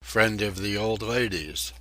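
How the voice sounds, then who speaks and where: Bright, low-voiced snobbish young gentleman played by a man. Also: slow, dry recording. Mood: dismissive. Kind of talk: dramatic audiobook reading of a classic novel.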